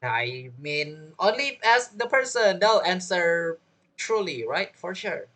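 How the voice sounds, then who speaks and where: A woman's voice: on the low side, animated, slow pace, fairly bright tone. A woman doing casual game playthrough commentary, informal and musing aloud as she reacts to the story. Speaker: gamer reacting to a story quest.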